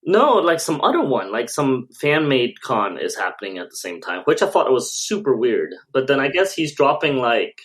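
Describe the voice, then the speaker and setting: A man's voice: mid-range voice, very animated, moderate pace, few pauses, a bit bright. A man co-hosting a podcast in a casual, slangy conversational style. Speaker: podcast co-host.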